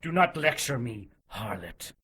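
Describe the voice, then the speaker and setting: A man's voice: mid-range voice, very animated, measured pace, very noisy. An actor playing a cruel, zealous religious inquisitor in an audio drama, menacing and mock-polite as he coldly gloats. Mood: threatening.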